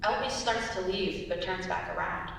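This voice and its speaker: A woman's voice: on the low side, moderate pace, vocal fry, a bit bright. A young adult woman reading scripted stage directions as narrator at a live staged reading. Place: large indoor room at a live reading. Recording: echoey hall from far off, faint noise.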